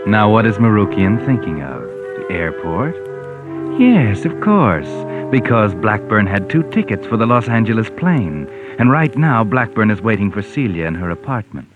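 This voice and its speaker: An American actor playing a sly, taunting, all-knowing narrator of a 1940s radio mystery. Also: male voice, on the low side, sing-song, normal speed. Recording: tinny 1940s radio, music behind.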